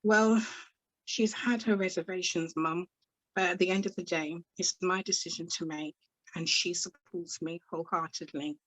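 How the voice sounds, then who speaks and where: A woman voicing a grown daughter who answers her mother in a measured, defensive way, trying to sound brave. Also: on the low side, steady tone, natural voice, low-quality recording.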